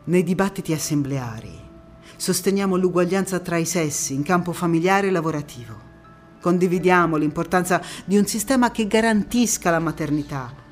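An actress playing a proud, spirited young female parliamentarian recounting memories in an expressive, emphatic stage monologue. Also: on the low side, faint music behind, lightly acted. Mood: impassioned, emphatic. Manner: expressive, deliberate, emphatic phrasing. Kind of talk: theatrical first-person monologue.

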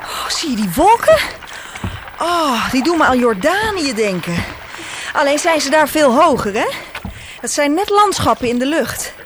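An actress playing a cheerful sister who travels the world, breezy and teasing, in a Dutch radio play. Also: female voice, sits high, big pitch swings, unhurried, noisy background. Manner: breezy and teasing, with frequent laughs. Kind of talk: radio drama acting.

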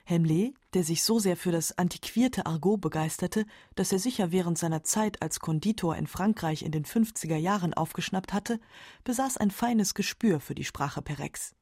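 A woman's voice: fairly low pitch, moderate pace, mellow, no echo. A woman reading scripted narration for a literary radio documentary, close on a studio microphone.

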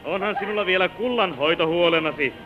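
An actor playing a considerate middle-aged son in an old Finnish radio drama. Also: male voice, high-pitched, close to an everyday voice. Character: considerate grown son, gentle middle-aged family man. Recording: dull vintage radio recording with music.

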